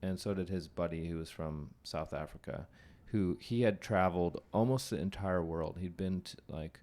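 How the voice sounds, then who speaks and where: A man's voice: sits low, normal speed, darkish tone. A young American man, a podcast host chatting casually and musing aloud, often trailing off mid-thought. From United States. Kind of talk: casual conversation.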